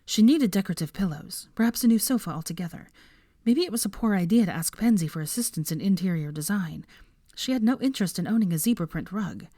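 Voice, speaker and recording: A woman's voice: medium pitch, expressive, fast, dark tone, no echo, studio quality. A woman narrating a story as an audiobook reader, from a script.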